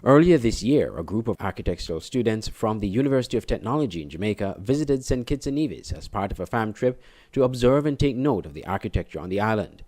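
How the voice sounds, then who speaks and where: A man's voice: on the low side, lively intonation, fast, a little mellow. A man news reporter narrating a scripted report.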